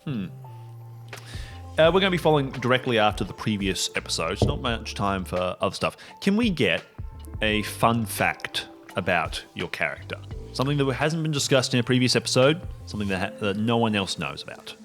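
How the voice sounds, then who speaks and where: A man's voice: fairly low pitch, big pitch swings, fairly quick, fairly bright tone, soft background music. A man leading a casual game show chat as game master, jokey and playful. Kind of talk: casual banter.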